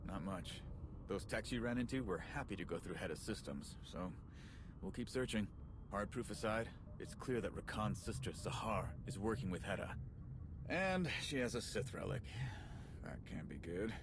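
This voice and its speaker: A rueful, loyal soldier for a video game. He has a lowish voice and talks plainly in short, informal bursts. There is clear ambient noise behind him. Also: expressive, normal speed. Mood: matter-of-fact. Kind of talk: video game cutscene acting.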